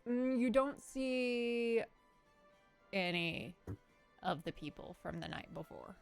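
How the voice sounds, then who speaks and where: A woman running a live tabletop roleplaying game as game master, narrating haltingly with pauses mid-sentence. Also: a little high, expressive, creaky. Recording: faint music behind the voice.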